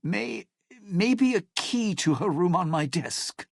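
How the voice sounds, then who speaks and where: A gravelly, cynical game schemer. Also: male voice, sits high, expressive, slow, no room sound, studio quality, exaggerated voice. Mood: urgent, wry. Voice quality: gravelly, raspy. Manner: wry, theatrical, hesitant muttering turning to threats. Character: cynical, self-serving male lounge singer, slick and menacing. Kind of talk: video game character dialogue.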